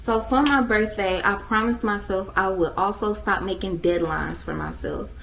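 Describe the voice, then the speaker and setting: A woman in her twenties talking to viewers in a casual motivational vlog, emphatic and animated, addressing them directly. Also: mid-range voice, moderate pace, vocal fry, slight background noise, poor audio. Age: 20s. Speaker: vlogger.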